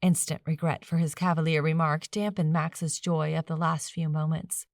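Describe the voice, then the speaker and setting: A woman's voice: low voice, little pitch movement, moderate pace, gravelly, no echo, clean studio sound. A woman reading a fiction audiobook as a scripted narration.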